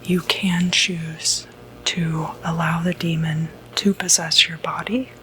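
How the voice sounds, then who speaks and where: A woman playing a gentle, reassuring doctor who treats demonic possession, in a hushed, soothing ASMR medical roleplay. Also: fairly low pitch, level tone, a little hiss or hum, rough recording. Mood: calm, reassuring. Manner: hushed, unhurried, hesitant, soothing.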